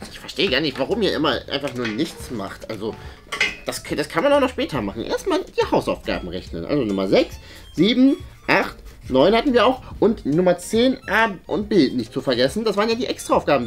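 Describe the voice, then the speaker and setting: Man acting pedantic nerd pupil, swooping pitch. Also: big pitch swings, fairly quick, soft background music, natural voice. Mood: smug, eager. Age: young adult. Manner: pedantic, self-satisfied. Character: smug, eager homework-loving nerd schoolboy. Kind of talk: comedy sketch acting. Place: indoor room, music behind.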